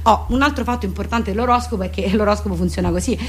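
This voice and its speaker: A woman delivering a comic monologue as a deadpan, colloquial mock explainer. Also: medium pitch, rapid-fire, husky. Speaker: comedian and monologist.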